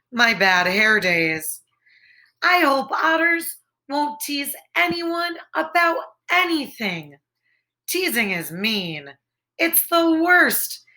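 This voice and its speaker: A woman's voice: high voice, sharp tone, exaggerated voice. A woman voicing an earnest, fretful male rabbit in a children's storybook, with an emphatic, childlike delivery. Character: earnest, fretful male rabbit worried about new otter neighbors.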